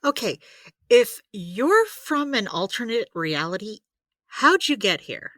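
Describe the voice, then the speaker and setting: A woman's voice: fairly high pitch, very animated, slow pace, fairly bright tone, no echo, clean studio sound, exaggerated voice. A woman playing a wry, analytical supernatural healer who talks precisely and skeptically in an audio drama. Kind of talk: scripted audio drama dialogue.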